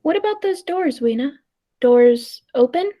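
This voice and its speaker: Woman playing an earnest time traveller, very high mellow voice. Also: animated, measured pace. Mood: calm, coaxing. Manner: dramatic storytelling. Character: earnest, thoughtful time traveller recounting the adventure. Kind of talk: 1940s radio play narration and acting.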